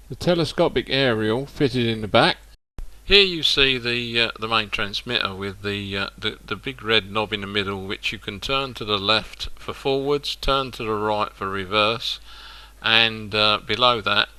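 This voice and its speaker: Deep-voiced man demonstrating, bright tone. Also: no room sound, low-quality recording. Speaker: hobbyist presenter demonstrating a model train radio control. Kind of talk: explanatory product demonstration narration.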